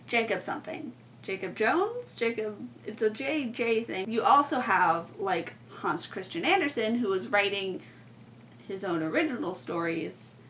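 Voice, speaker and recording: A young woman explaining things in a casual, rambling way, with plenty of informal filler words. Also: mid-range voice. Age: young adult. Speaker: podcast co-host and student writer. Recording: distant mic, faint background noise.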